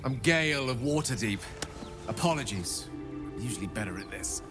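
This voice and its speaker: An actor playing a genial, verbose wizard who talks in polite, theatrical, elaborate sentences. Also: male voice, medium pitch, lively intonation, moderate pace, natural voice. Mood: courteous. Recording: game audio with music.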